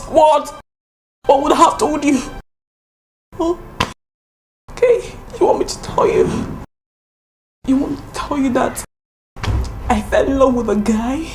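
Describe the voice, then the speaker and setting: A woman's voice: high-pitched, takes it slowly, pauses often, slight background noise. An actress playing a defensive young woman who is close to tears. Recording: echoey room.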